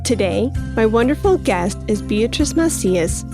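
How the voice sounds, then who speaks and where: A woman's voice: fairly high pitch, lively intonation, unhurried, over music. A woman from Chicago hosting a podcast, upbeat and encouraging, laughing often with her guest. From Chicago, USA. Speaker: podcast host. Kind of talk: podcast interview.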